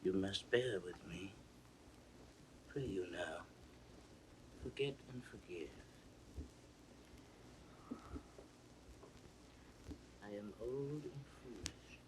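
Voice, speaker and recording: A man playing a frail, confused king in his eighties, humbled and remorseful, in classical Shakespeare audio drama style, halting and plaintive. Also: fairly low pitch, background noise. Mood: weary, pleading.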